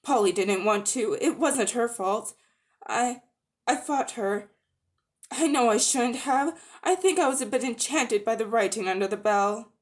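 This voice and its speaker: A woman's voice: fairly high pitch, expressive, measured pace. A woman voicing an earnest, guilty young boy who speaks hesitantly, politely and pleadingly. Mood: guilty, wretched.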